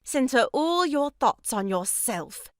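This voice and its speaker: A pompous, aggrieved busybody in an audio farce. She declaims in a high, very animated voice, turning each reproach into grand melodrama. The sound is close and dry. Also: takes it slowly, no echo, heavily acted. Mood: indignant, melodramatic. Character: meddling, theatrically aggrieved sister-in-law, grandly moralizing woman. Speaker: voice actor. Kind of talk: stage farce audio drama acting.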